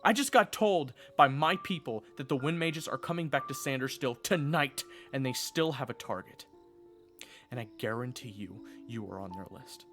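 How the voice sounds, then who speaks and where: An actor playing an earnest, urgent teenage knight in an audio roleplay drama, protective and stubborn, with dramatic, emphatic delivery. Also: male voice, mid-range voice, animated, normal speed, over music, lightly acted. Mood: urgent, desperate. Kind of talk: audio roleplay drama with first-person narration.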